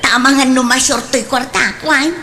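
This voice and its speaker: A bossy, wheedling female relative played by a woman in a radio comedy. The voice is high-pitched and Filipino-accented, with slight noise in the recording. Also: moderate pace, sharp tone. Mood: coaxing. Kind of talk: comedic radio drama acting.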